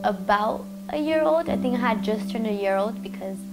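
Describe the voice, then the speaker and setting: A woman's voice: a little high, animated, moderate pace. A young woman from Mexico speaking hesitantly in a documentary interview. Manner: hesitant, with fillers. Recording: loud music behind.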